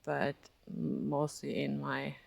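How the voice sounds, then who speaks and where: A Finnish-accented speaker, native in Finnish, gives a hesitant, reflective spiritual vlog, often searching for words and laughing softly. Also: mid-range voice, completely flat delivery, slow pace, vocal fry, no echo. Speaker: spiritual vlogger. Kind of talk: casual reflective monologue.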